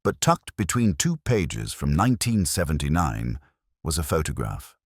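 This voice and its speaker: A man narrating a scripted mystery story in a measured, literary manner. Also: rumbling low, big pitch swings, breathy edge, no echo, clean studio sound.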